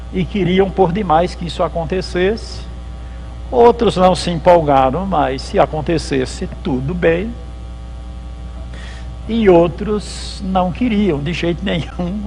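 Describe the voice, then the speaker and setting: Man, pastor lecturing, gravelly voice, faint electrical hum. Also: high voice, a little mellow, low-quality recording. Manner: conversational storytelling, acts out dialogues. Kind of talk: church history lecture. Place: lecture hall at a theological symposium.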